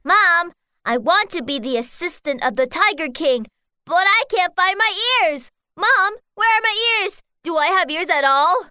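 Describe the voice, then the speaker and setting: A woman voicing an eager, excitable little rooster boy in a children's cartoon. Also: very high-pitched, a little slow, no echo, clean studio sound, full character voice. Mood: worried. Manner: bouncy, squealing with excitement.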